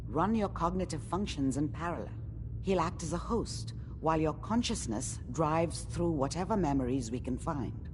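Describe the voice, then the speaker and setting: Low-voiced, exacting woman scientist. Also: animated, moderate pace, noisy background. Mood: focused, concerned. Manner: precise, formal, clinical wording. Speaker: doctor and scientist character. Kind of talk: video game voice acting.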